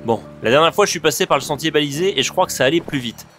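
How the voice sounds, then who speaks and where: A man's voice: on the high side, lively intonation, rapid-fire, music in the background. A man acting in an amateur audio drama, playing a grumbling, sarcastic lead. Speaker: lead character, an archivist named Johnny.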